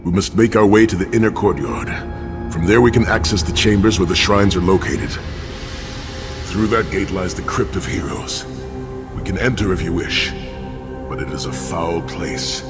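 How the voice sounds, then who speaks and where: A man's voice: low voice, normal speed, lightly acted. An actor playing a grave, stoic warrior in a fantasy game, solemn and formal in his wording. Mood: grave, resolute. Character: weary, stoic warrior haunted by torture, resolute and grave. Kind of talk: fantasy video game acting. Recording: long reverb, music behind the voice.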